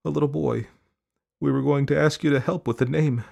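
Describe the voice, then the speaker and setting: Man voicing a soft, tired, gentle mother, pitched up. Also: steady tone, brisk, gravelly. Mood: grieving. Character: sad, weary, gentle mother of a young girl.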